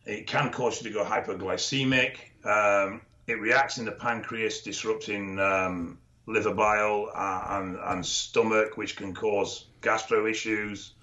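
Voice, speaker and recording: A man co-hosting a podcast, explaining things in a knowledgeable, measured way with dry humour and frequent ums. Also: on the low side, steady tone, bright, forceful tone. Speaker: expert co-host. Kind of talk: casual podcast chat, explaining. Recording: dull lo-fi audio.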